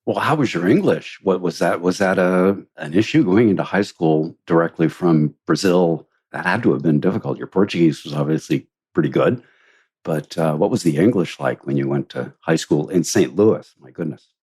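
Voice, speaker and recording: A man's voice: low-pitched, moderate pace, darkish tone, breathy edge. A man hosting an interview, asking friendly follow-up questions with frequent stammered restarts. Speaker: podcast host. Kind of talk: interview conversation.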